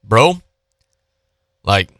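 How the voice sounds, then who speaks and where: A man hosting sports talk radio in a casual, chatty, slangy style, telling stories in an animated, repetitive way on a close studio microphone. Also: extremely slow, dry recording.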